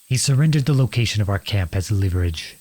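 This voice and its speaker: A man playing a noble runaway outlaw prince in a dramatic audio roleplay, earnest and imploring, with a husky voice. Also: fairly low pitch, lively intonation, fast talker, a little mellow. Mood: confessional, remorseful. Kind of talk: dramatic ASMR roleplay acting.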